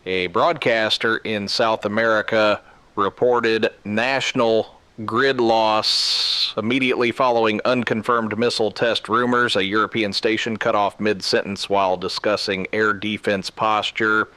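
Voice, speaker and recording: A man playing a grave emergency radio broadcaster in a scripted drama, speaking solemnly and deliberately in short, clipped sentences. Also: on the low side, bright, forceful tone, clear, resonant tone, dry recording. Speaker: radio news host.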